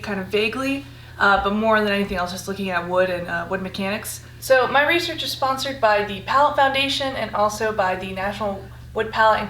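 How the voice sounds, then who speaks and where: A woman in her twenties, a graduate student from Costa Rica, answering interview questions in a relaxed way with many fillers. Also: mid-range voice. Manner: easygoing, many fillers and likes. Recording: echoey room with faint hum.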